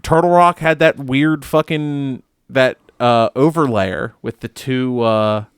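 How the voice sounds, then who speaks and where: A man's voice: medium pitch, slow, clear, resonant tone, no echo, clean studio sound. A man co-hosting a video game review podcast, chatting casually in an emphatic, blunt, sweary way. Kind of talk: casual group chat.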